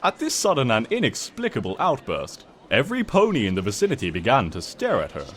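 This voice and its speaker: Theatrical, highly animated male storyteller. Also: a little fast, a little hiss or hum. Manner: theatrical, smug, wry. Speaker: story narrator. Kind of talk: dramatic comic storybook narration.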